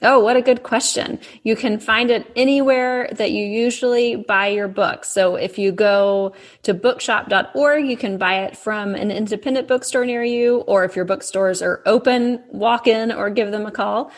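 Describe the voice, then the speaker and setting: A woman's voice: medium pitch, steady tone, normal speed, hardly any pauses. A woman from Texas with a faint Texas accent, an author explaining things patiently to a child in a casual interview. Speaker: author guest.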